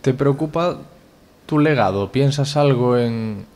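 A man in his thirties with a Spanish accent, calmly asking interview questions into a desk microphone in an indoor studio. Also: mid-range voice, slow. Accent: Spain. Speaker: podcast host. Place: indoor studio space, seated at table. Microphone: studio mic on desk stand.

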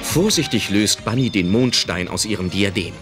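A man narrates an audio drama in a fairly low voice with lively intonation. Music plays clearly behind his scripted storytelling. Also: moderate pace.

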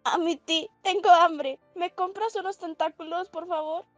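A woman voicing an excitable, lovestruck, awkward teenage girl in a fan comic dub, dramatic and pleading. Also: very high-pitched, a little slow, no room sound, faint music behind, pitched above the performer's own voice. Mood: flustered.

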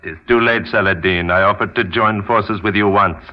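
A man plays a power-hungry sorcerer in an old radio thriller. The voice is very low, grandiose and menacing with mock courtesy, on a slightly dull vintage recording. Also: steady tone, a little fast, natural voice. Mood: gloating. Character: gloating, theatrical male villainous sorcerer, power-hungry master. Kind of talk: old-time radio drama acting.

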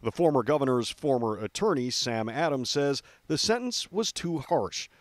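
A man's voice: medium pitch, animated, moderate pace, no room sound. American man reporting the TV news.

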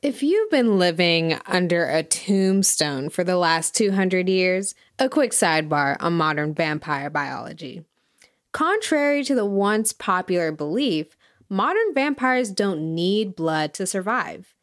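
A woman's voice: lowish voice, very animated, measured pace, no echo, studio quality. A woman playing a knowing documentary host, delivering scripted narration in a polished, wry way. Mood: wry, informative.

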